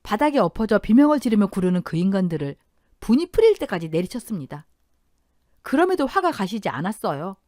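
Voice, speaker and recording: A woman's voice: mid-range voice, sing-song, a little fast, warm tone, no room sound, studio quality. A woman in her thirties reading a first-person family revenge story as a scripted narration, telling it in a dramatic, emotional way.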